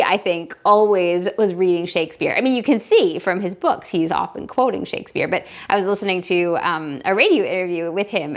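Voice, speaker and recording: A woman talking casually and enthusiastically to viewers as a book vlog host, gushing and wandering into asides as she thinks aloud. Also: on the low side. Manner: enthusiastic, gushing about books. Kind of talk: casual chatty reading vlog.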